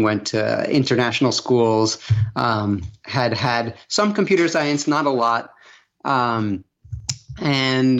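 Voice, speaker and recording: A man from Venezuela, a guest on an interview, telling his story in a measured, casual way with fillers. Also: medium pitch, muffled recording. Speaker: guest, education nonprofit founder.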